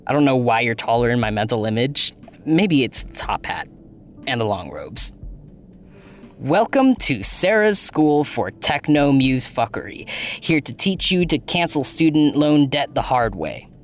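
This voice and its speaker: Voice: medium pitch, big pitch swings, unhurried. An actor playing an earnest, playful, nerdy young blogger in a naturalistic audio drama, talking in a casual, wry way. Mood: teasing, amused.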